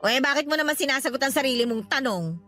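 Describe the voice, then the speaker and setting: A woman's voice: sits high, racing, sharp tone, soft background music. A woman playing a resentful older mother in a Tagalog radio drama, talking in a sarcastic, needling way. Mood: confrontational, bitter.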